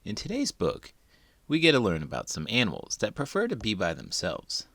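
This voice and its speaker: A man reading a children's picture book aloud in an expressive, dramatic way, as a host would for young listeners. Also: on the low side, moderate pace, creaky, a little hiss or hum. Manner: expressive, dramatic delivery with big emotions. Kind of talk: animated read-aloud narration for children.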